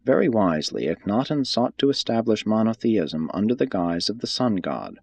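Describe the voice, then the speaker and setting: A man reading a religious text aloud as scripted narration, in a measured, formal manner. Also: lowish voice, big pitch swings, gravelly, darkish tone, husky, no room sound. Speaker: audiobook reader.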